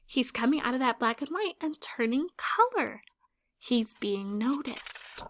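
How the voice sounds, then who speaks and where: A woman teacher reading a children's picture book aloud, pausing to ask her listeners questions about the story. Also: high voice, big pitch swings, a bit bright.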